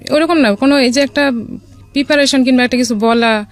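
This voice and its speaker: A woman from Bangladesh with a Bangladeshi accent, telling a personal story as a radio guest in a casual, somewhat halting way. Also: high-pitched. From Dhaka, Bangladesh. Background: music.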